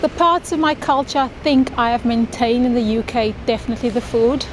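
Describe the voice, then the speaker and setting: A woman's voice: on the high side, brisk, noisy background. A woman from South Africa, Afrikaans her first language, with a South African accent, chatting in an interview and laughing easily. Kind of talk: recorded interview answers.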